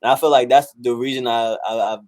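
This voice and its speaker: A man's voice: medium pitch, steady tone, fairly quick, a little mellow, no echo. A man in his twenties from the United States, a musician giving a casual, slangy, rambling interview. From United States, South Carolina and Northern California. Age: 20s. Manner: slangy, rambling, frequent "you know" and "like". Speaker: musician and rapper being interviewed.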